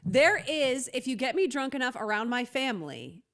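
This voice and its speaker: Woman, high-pitched, podcast co-host, brash, swears freely, quick laughs. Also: sing-song, a bit bright. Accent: General American, Boston accent trained away. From Boston area. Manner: profane, emphatic, laughs often. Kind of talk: casual podcast banter.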